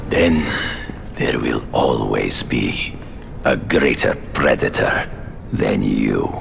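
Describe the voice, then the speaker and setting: An actor playing a stern, commanding male hunter in a video game, speaking slowly and gravely, lecturing. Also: lively intonation, fairly bright tone, slight background noise, exaggerated voice. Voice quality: very deep, gravelly. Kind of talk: video game cutscene acting. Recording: game audio with music. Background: music.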